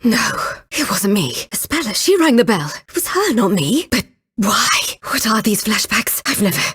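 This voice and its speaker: A woman's voice: medium pitch, very animated, normal speed, a bit bright, slightly rough, full character voice. An actress playing a haughty, vengeful young woman inquisitor in a dramatic video game, with an imperious, theatrical delivery. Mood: distraught.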